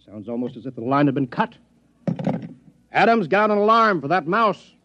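An actor playing a grave, impatient government chief in an old radio drama. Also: male voice, a little high, very animated, normal speed. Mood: grave, urgent. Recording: noisy, muffled old radio recording.

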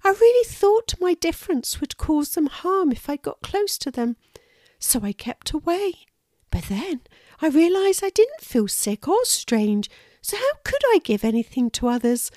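Storybook squirrel, young female, played by a woman: small high voice, shy and earnest, lilting delivery, dry close sound. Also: big pitch swings, measured pace, darkish tone, dry recording. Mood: earnest, a little sad. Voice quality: little, thin voice. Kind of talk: children's storybook character voice.

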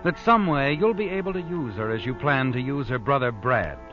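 An actor playing a sly, taunting narrator of a 1940s radio mystery, insinuating with pointed questions. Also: male voice, mid-range voice, lively intonation, fairly quick, natural voice. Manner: insinuating second-person address, rhetorical questions. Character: sly, taunting narrator voicing a schemer's thoughts. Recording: muffled old radio broadcast. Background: dramatic music.

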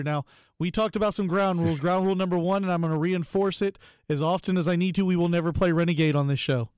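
A man's voice: sits high, a little mellow, clear, resonant tone, no room sound, studio quality. A man hosting a casual sports podcast, talking in a playful, teasing and enthusiastic way. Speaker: podcast host.

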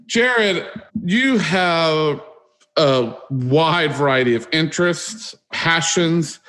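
A man's voice: a little high, fairly bright tone, low-quality recording. A man hosting an interview podcast, reading introductions and putting questions to his guest. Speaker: podcast host.

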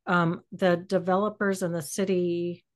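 A woman's voice: on the low side, level tone, unhurried. A middle-aged American woman in a reflective podcast conversation, searching for words and letting thoughts trail off. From Texas, United States. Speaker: podcast host.

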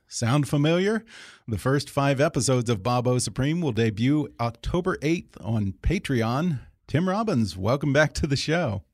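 A man hosts a podcast in a mid-range voice. He reads scripted introductions close to the microphone. The sound is very dry, with no room echo. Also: mid-range voice. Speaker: podcast host and interviewer.

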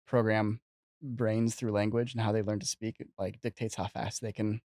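Slightly low-voiced man, casual host. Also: level tone, normal speed, a little mellow, no echo. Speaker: podcast host. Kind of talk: casual conversational interview.

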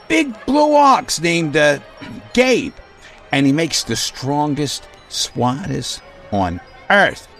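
An actor playing a bumbling, folksy emcee who stammers and rambles in a comic old-time radio style. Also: male voice, medium pitch, fairly bright tone, exaggerated voice. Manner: halting, rambling, stammering, clears throat. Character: bumbling folksy male emcee rambling through town legend.

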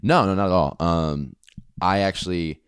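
A man's voice: deep voice, moderate pace, clear, resonant tone, no room sound, studio quality. A young man, a music artist and producer, chatting casually in an interview in a laid-back way, peppering his talk with "like" and "dude".

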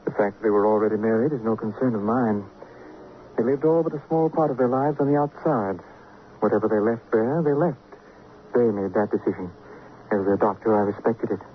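An actor playing a wry, unflappable doctor, speaking in a dry, measured way in an old radio drama. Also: male voice, lowish voice, natural voice. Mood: grave, candid. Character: wry, unflappable male sanatorium doctor, protective of patients. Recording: tinny vintage radio with faint noise.

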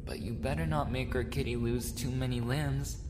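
A man voicing a clingy, jealous dog-boy maid in a roleplay ASMR, playful and cooing with gleeful menace, often slipping into breathy whispers. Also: mid-range voice, steady tone, moderate pace, noisy background, close to an everyday voice. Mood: cheerful menace. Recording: reverberant, with music behind the voice.

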